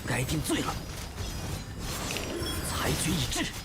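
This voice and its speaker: Stern, dramatic fantasy judge-warrior. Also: male voice, fairly high pitch, wildly swooping pitch, slow, very noisy, heavily acted. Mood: stern, commanding. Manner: commanding, declamatory. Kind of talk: Chinese video game battle voice acting. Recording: game audio under loud music.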